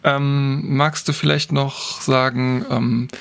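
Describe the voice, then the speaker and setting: Guy in his 30s hosting a podcast, gravelly voice, lots of ähm, easygoing. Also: medium pitch, flat delivery, slow pace, fairly bright tone. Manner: friendly, hesitant, many fillers. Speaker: podcast host. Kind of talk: casual interview hosting.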